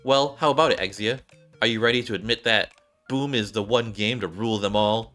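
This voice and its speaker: Man voicing a brash, bright-toned gamer girl. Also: fairly low pitch, fairly quick, faint music behind, natural voice. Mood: excited, emphatic. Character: brash excitable young female gamer, teasing and opinionated.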